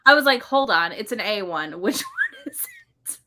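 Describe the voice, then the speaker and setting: A woman speaks in a bright, crisp-edged voice of average pitch. She is a chatty podcast panelist with a very casual style, often slipping into quoted reactions as she talks. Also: huge pitch swings, moderate pace. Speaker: book discussion podcast panelist. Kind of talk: casual chat.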